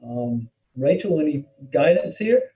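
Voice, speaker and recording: A man chairing a public meeting informally, speaking in a polite, halting way. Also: a little high, lively intonation, mellow. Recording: muffled, distant from the mic.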